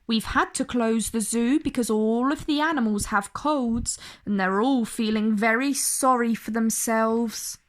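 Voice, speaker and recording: A woman's voice: a little high, unhurried, few pauses, natural voice. A woman voicing a male zookeeper in a children's storybook, apologetic and grateful, with an exclaiming delivery.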